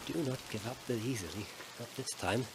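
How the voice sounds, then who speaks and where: A man's voice: mid-range voice, fairly quick, background noise, close to an everyday voice. A man playing a stubborn, sarcastic, self-pitying homeless man in a comedy radio play. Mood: sulky, stubborn. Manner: sarcastic, indignant, aggrieved.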